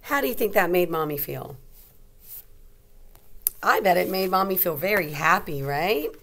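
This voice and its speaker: Lower-pitched woman teacher reading to children. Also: normal speed, gravelly. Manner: simple questions, expressive teacherly delivery. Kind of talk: storybook read-aloud with explanations for young children.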